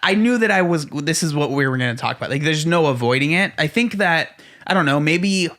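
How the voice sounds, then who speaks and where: Rambling young man, slightly high voice. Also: expressive, a little fast, a bit bright. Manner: rambling, heavy on "like" fillers. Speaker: podcast co-host. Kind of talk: casual chat.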